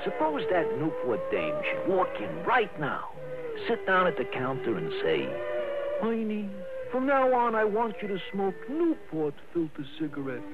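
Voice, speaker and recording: A man's voice: high-pitched, huge pitch swings, unhurried, full character voice. An actor playing a chatty, smitten diner counterman in a 1960s radio commercial. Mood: dreamy. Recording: tinny old radio, prominent music.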